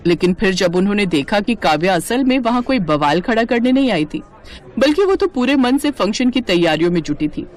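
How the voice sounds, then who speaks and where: A woman's voice: mid-range voice, expressive, brisk. A woman narrator reading a scripted family story in storybook style.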